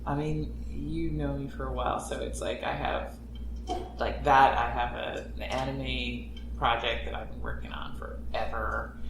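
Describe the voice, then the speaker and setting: Woman voice actor, low-pitched, blunt and wry in casual chat; roomy sound. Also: measured pace, background noise. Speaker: podcast voice actor. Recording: echoey room.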